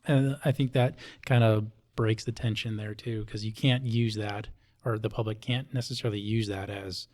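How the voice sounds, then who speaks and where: A man's voice: lowish voice, fairly even intonation, normal speed, a little mellow. A man from Hawaii speaking conversationally as an interview guest, explaining things and often checking in with short tag questions. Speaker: police officer interviewee.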